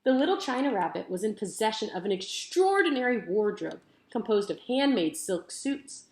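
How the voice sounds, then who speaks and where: Female storybook narrator, mid-range voice, emphatic and careful phrasing, audibly roomy recording. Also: mid-range voice. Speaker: teacher reading aloud. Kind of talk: expressive children's book narration. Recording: roomy echo.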